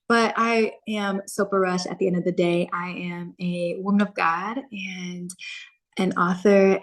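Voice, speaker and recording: A woman in her thirties from Chicago, a guest on a podcast, speaking calmly and reflectively with frequent ums. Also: mid-range voice. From Chicago, USA. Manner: calm, reflective, with frequent um and soft laughs. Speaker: podcast guest, content creator and author. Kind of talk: casual interview chat.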